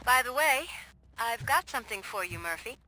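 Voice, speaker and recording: A woman playing a capable police partner in a video game, brisk and businesslike. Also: a little high, animated, a crowd in the background, lightly acted, low-quality recording. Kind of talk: video game character acting.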